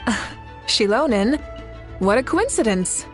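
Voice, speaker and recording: A woman's voice: a little high, sing-song, unhurried, soft background music. An actress playing a poised young woman in a video game, wry and composed with a teasing edge. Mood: pensive.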